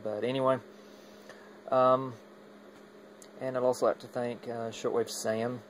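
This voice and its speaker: A man's voice: medium pitch, level tone, a little slow, a little hiss or hum, poor audio. A man casually demonstrating his radio gear in an unscripted, rambling way, often hesitating and trailing off mid-thought. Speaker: amateur radio hobbyist demonstrating equipment.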